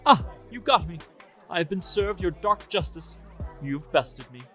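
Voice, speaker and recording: A man's voice: fairly high pitch, animated, a little slow, pushed and bright, faint music behind, voice pushed up. A man voicing a flippant, sarcastic young man in a Joker costume, with theatrical delivery in a story reading. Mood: mocking. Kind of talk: acted dialogue in a story reading.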